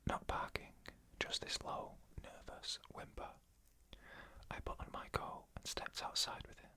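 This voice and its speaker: A narrator whispers scary first-person stories in an ASMR style. The whisper is very bright and sharp, with no full voice behind it. The delivery is soft, hushed and deliberate.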